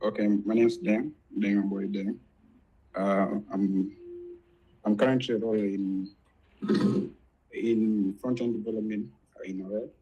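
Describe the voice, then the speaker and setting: A man's voice: fairly low pitch, fairly even intonation, unhurried, pauses often, slightly rough, highs cut off. A nineteen-year-old man from South Sudan giving a shy, hesitant introduction as a student. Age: teens. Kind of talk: brief self-introduction.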